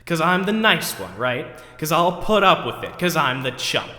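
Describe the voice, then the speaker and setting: An actor performs an overwrought man thinking out loud in a theatrical monologue. His voice is bright and fairly high, with big swings in pitch as he frets and reasons with himself. It rings through an echoey hall. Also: big pitch swings, normal speed. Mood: bitter. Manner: ranting, then talking himself down. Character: overwrought, neurotic man agonizing over forgotten groceries, self-questioning. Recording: echoey hall with long reverb.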